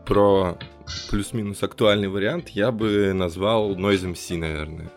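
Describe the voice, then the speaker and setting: Deep creaky dude chatting on a podcast, hesitant, searching for words, bit of music behind. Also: male voice, steady tone, measured pace. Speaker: podcast co-host. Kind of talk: casual discussion.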